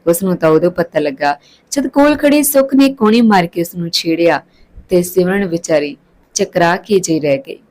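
A woman reading a scripted story as a storyteller, with expressive, literary delivery. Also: fairly low pitch, moderate pace, rough recording.

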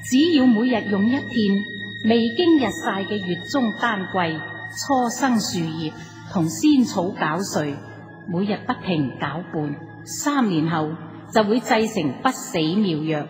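An actress playing a coaxing, insistent inner voice of temptation in a radio drama, in cavernous reverb. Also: female voice, medium pitch, animated, unhurried, natural voice. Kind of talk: Cantonese radio drama acting. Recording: long cavernous reverb over faint music.

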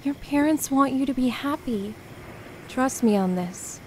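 Kindly ghost lady in a radio drama, bit high, wry, low-key, some background noise. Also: animated, unhurried. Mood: gentle, reassuring. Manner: gentle, understated. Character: spirit woman emissary of Death, kind, wry. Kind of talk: radio play acting.

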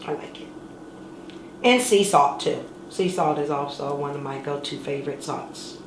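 A woman's voice: low-pitched, normal speed, noisy background. A middle-aged woman hosting a home cooking show, chatting casually and playfully as she demonstrates a recipe. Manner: chatty and playful, sings words for fun. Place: home kitchen, indoors. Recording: echoey kitchen with clattering dishes.

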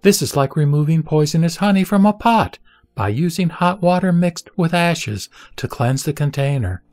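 A man reads a Buddhist scripture aloud in a measured, solemn, scripted recitation. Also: on the high side, lively intonation, darkish tone, dry recording. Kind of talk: scripted reading of a sutra. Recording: dry voice over background music. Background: background music.

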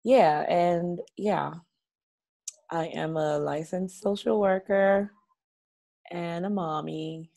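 A woman in her thirties from Nigeria speaks over a laptop webcam from her living room. Her voice sits very low and warm, and she tells her story slowly and openly, chatty, with small laughs. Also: fairly even intonation, gravelly. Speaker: guest. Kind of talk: casual video-call interview. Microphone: laptop webcam on a video call.

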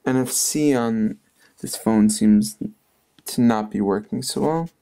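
A man's voice: fairly low pitch, takes it slowly, creaky, dark tone. A man hosting a tech channel, talking offhand in a casual phone tutorial as he taps through screens. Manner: offhand, talking while tapping through tests.

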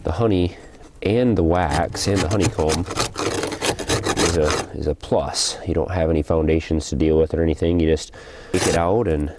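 Very deep-voiced man musing while he works. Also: a little hiss or hum. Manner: relaxed, thinking aloud with small pauses. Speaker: hobby beekeeper and channel host. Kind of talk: casual narration while working. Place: outdoors beside a wooden beehive.

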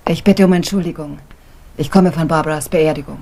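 A woman's voice: sits low, fairly even intonation, fairly quick, warm tone, slight background noise. A woman playing a composed, guarded young woman in a radio crime drama.

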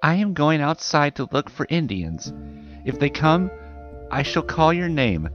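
A man's voice: on the high side, very animated, pitched above the performer's own voice. A man voicing an anxious, firm but loving pioneer mother giving careful instructions in a storybook. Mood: urgent, firm. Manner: urgent, emphatic, deliberate instructions. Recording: muffled recording with music behind.

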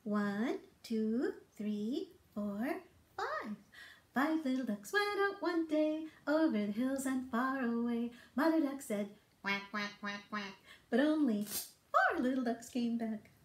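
High, lively middle-aged woman hosting toddler storytime. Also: slow pace. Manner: playful, encouraging, singsong. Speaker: children's storytime librarian host. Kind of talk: read-aloud, songs and rhymes for toddlers. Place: small book-lined office. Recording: roomy indoor echo.

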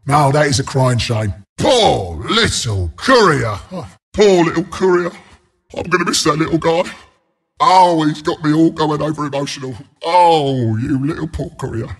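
An actor voicing a scrappy, cocky porcupine brawler as a video game announcer, with a London Cockney accent, a gravelly rasp and cheeky, blunt banter. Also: male voice, sits high, big pitch swings, unhurried, sharp tone, exaggerated voice. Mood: mournful, maudlin. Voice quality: gravelly, raspy.

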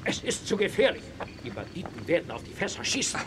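An actor playing a wary, cautious companion in a Western posse in a radio play. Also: male voice, on the high side, big pitch swings, fast talker. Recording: noisy outdoor sound effects.

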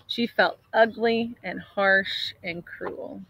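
A woman in her thirties reads a picture book aloud in an expressive, dramatic storytelling manner. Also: medium pitch, takes it slowly, vocal fry, no room sound, rough recording. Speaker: storybook reader. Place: outdoors in an open grassy area.

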